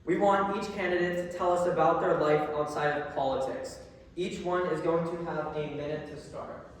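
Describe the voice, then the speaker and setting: A man's voice: a little high, fairly even intonation, moderate pace. A young man moderating a candidate forum in a casual, informal and slightly unsure way. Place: large echoing public hall. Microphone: distant microphone. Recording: echoey hall from far off, faint noise.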